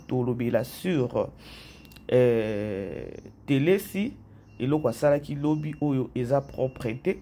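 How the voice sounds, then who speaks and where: A man's voice: medium pitch, dark tone, slight background noise. A man who has a head cold, hosting a chatty commentary vlog, repeating phrases and talking straight to his viewers. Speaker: YouTube channel host. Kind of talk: casual commentary vlog, mixing French and Lingala.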